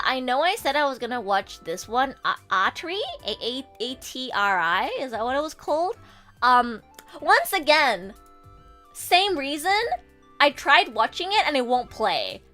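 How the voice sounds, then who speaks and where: A woman streamer chatting casually with her viewers, animated and swearing freely, her throat a bit sore from a coming cold. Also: sits high, measured pace, soft background music. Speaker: VTuber streamer and voice actor. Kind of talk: casual livestream chat.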